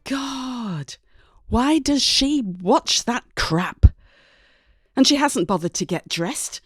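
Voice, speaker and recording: A woman with a British accent reading a first-person story dramatically, with an expressive, wry, exasperated manner. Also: fairly high pitch, slow, breathy edge, no echo, soft background music. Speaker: story reader.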